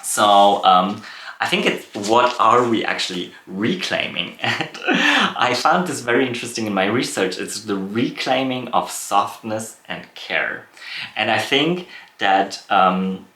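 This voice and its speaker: A man's voice: on the low side, unhurried, sharp tone. A man in his thirties from Poland, hosting a reflective solo podcast, thoughtful and full of fillers and restarts. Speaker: podcast host. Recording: echoey room.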